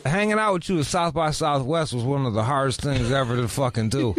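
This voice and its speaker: A man's voice: mid-range voice, brisk, no echo, faint music behind. A man hosting a podcast from home, rambling through a casual, profane rant about his day. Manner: rambling, swears constantly, ranting. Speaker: podcast host.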